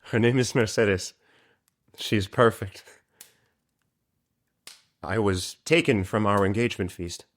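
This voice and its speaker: A man's voice: fairly low pitch, takes it slowly, long pauses. A man playing an earnest, innocent nineteen-year-old merchant sailor in an audio drama, polite and openly trusting. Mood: warm, friendly.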